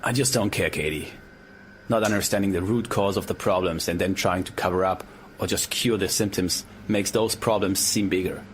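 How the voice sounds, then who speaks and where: An actor playing a bitter, resigned scientist, earnest and lecturing, in an audio drama. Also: male voice, low voice, moderate pace, slight background noise, lightly acted.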